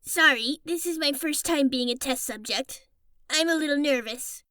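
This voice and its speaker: A woman's voice: sits high, moderate pace, pushed and bright, no room sound, clean studio sound. A woman playing a chatty, nervous young goblin girl, apologetic and eager, in an audio drama. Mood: shy, nervous. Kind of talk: audio fiction character acting.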